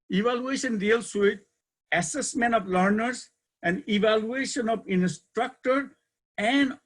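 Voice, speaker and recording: A man's voice: high voice, slightly rough. An older man with a Bangladeshi accent explaining material in a webinar lecture, emphatically repeating key terms in simple conversational phrasing. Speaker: university educator, keynote speaker.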